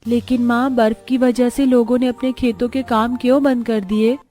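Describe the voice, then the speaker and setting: Woman as cartoon young girl, high pitch, plaintive, whining, emotional, music behind. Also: little pitch movement, normal speed, dark tone, lightly acted. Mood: puzzled.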